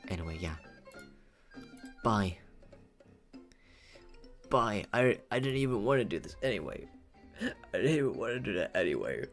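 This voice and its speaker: A man's voice: lowish voice, big pitch swings, normal speed, long pauses, faint music behind. A man chatting casually on a livestream in a playful, cutesy, rambling way, often repeating himself. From Philippines. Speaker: VTuber livestreamer.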